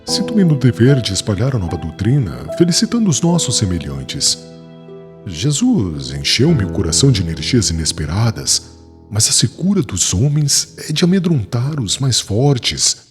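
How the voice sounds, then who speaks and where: A man's voice: on the low side, big pitch swings, moderate pace. An actor playing a troubled, earnest male former rabbi new to his faith, speaking in solemn, formal phrases in an audiobook drama. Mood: anguished, earnest. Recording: long reverb, music behind the voice.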